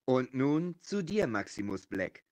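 Man as a commanding, animated grand magician. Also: medium pitch, a little slow. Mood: stern. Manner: commanding, theatrical. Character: stern grand magician passing judgement. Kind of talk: children's audio drama.

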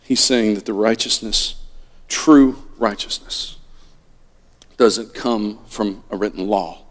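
A middle-aged man preaching a sermon from a pulpit, swinging between loud animated lines and hushed ones. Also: lowish voice, slow pace. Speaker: pastor. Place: church sanctuary at a pulpit. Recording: long reverb of a church hall.

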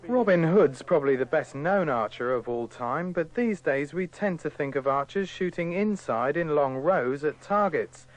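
A man with a somewhat high voice narrates a radio feature. He delivers it as a scripted report. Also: lively intonation, normal speed, few pauses, soft background music, rough recording.

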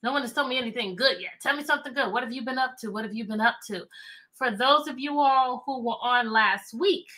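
A middle-aged American woman hosting a chatty, interactive livestream for children, animated and repeating phrases and questions to draw listeners in. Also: on the high side, fairly quick. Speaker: teacher, children's read-aloud host. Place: indoor room with bookshelves.

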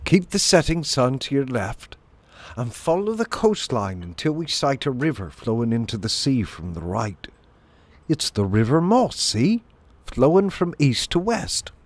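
A man voicing a boastful, bossy shrew chief in a storybook, brisk and commanding like a self-assured sea captain. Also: mid-range voice, big pitch swings, no room sound, pitched above the performer's own voice. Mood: eager, explaining. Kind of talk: storybook character voice.

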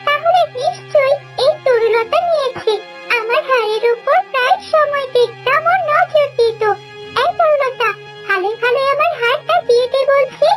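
A woman's voice: extremely high pitch, normal speed, a bit bright, faint music behind, low-quality recording. A woman voicing a spoiled, whiny young stepsister who accuses others, in a fairy-tale cartoon. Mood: accusing.

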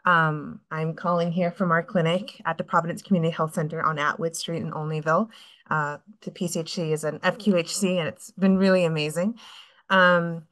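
Low-voiced woman doctor from California. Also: level tone, brisk, a bit bright. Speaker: obstetrician. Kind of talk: self-introduction on video call. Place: clinic room. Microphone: computer webcam on video call.

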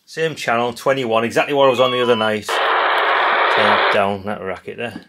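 A man's voice: medium pitch, fairly even intonation, normal speed, noisy background. A man, hosting a casual hands-on radio demo at a workbench, chatty and rambling with lots of fillers. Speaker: radio hobby channel host. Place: indoor workbench room.

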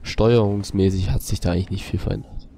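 A man's voice: on the low side, steady tone, quick pace, vocal fry, background noise. A man with a cold, casually commenting on the game he is playing and muttering asides to himself. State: has a cold. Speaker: let's play host.

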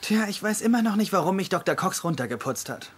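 An actor playing an earnest, insecure young doctor in a German-dubbed TV comedy-drama. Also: male voice, high voice, animated, quick pace, a bit bright, husky, full character voice.

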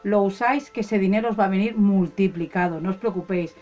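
Woman, calm step-by-step instructions, dull lo-fi audio, faint music behind. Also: medium pitch, fast. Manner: calm, instructive, addresses viewers as friends. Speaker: ritual channel host. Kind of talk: step-by-step ritual demonstration. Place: indoors at a table, hands only in shot.

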